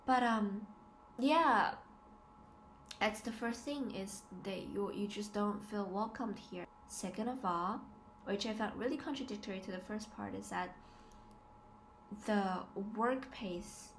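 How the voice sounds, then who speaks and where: A woman's voice: mid-range voice, unhurried. A woman in her twenties talking casually and confessionally to a camera indoors, hesitant yet emphatic, often repeating her words. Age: 20s. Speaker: student living abroad, vlogger. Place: small plain room indoors. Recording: noisy home camera recording.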